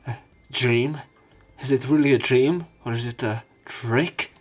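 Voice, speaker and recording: A man's voice: medium pitch, fairly even intonation, unhurried, soft background music. A man doing a let's play, reading story narration aloud dramatically with casual commentary. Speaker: let's player.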